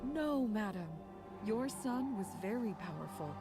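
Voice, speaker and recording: A solemn, forthright religious sister in a fantasy game. She speaks formally at a medium pitch, with loud music behind her. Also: animated, measured pace. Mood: solemn, firm.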